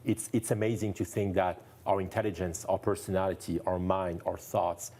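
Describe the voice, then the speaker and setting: A man's voice: deep voice, level tone, normal speed, mellow. A man from France with a French accent, a neurologist guest speaking earnestly and enthusiastically in a TV studio interview, repeating phrases for emphasis.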